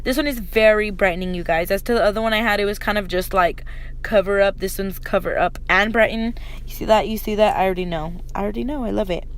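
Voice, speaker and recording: A young woman with a slightly raspy voice, chatting informally to viewers through a casual makeup tutorial. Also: mid-range voice, fast. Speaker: beauty vlogger. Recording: noticeable background noise.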